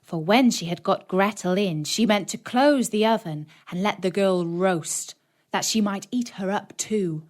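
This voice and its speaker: A woman narrating a scripted children's story in a dramatic, deliberate storytelling manner. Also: medium pitch. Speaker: audiobook narrator.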